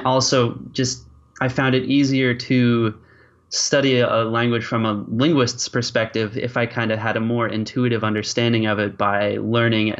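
A man who grew up with French and English, answering interview questions conversationally as a linguist, often circling through asides. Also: on the low side. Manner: hesitant starts, peppered with "you know" and "like". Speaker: linguist and language creator being interviewed. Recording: slightly dull, lo-fi audio.

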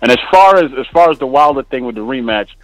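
A man's voice: medium pitch, lively intonation, fast talker, dry recording, low-quality recording. A man calling in to a show, arguing back defensively and insistently. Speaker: caller. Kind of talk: heated argument.

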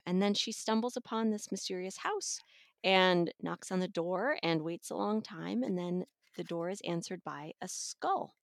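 Low-voiced woman chatting, close dry sound. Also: normal speed, vocal fry, no echo. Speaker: audiobook reviewer on a podcast. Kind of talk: casual review chat.

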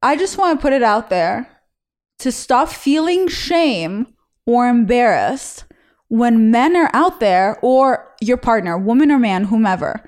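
A woman's voice: on the high side, lively intonation, measured pace, darkish tone. A woman hosting a confession show, reacting bluntly with swearing and giving encouraging advice. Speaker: host. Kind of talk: casual reaction talk.

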